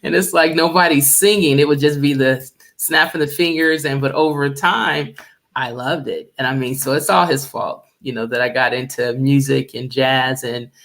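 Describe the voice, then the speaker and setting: A middle-aged woman singer with a deep, low voice, chatting easily as a radio guest and laughing often. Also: fairly even intonation, normal speed, fairly bright tone. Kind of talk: casual radio interview chat.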